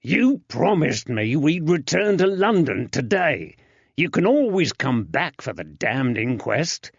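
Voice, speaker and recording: A man's voice: a little high, very animated, takes it slowly, gravelly, husky, no room sound, voice pushed up, exaggerated voice. Ned Woods, a man doing a testy old constable. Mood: grumbling. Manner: blunt, grumbling. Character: gruff grey-browed older male constable, testy. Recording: dull lo-fi, dry.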